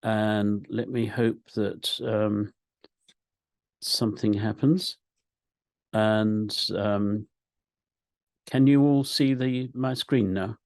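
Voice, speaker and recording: A man's voice: fairly low pitch, fairly even intonation, drawn-out words, long pauses, a little mellow, dry recording. Older man, chatty video call speaker. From Africa. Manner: chatty, self-deprecating. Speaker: guest speaker, professor. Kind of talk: casual talk opening a lecture. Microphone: computer mic on a video call.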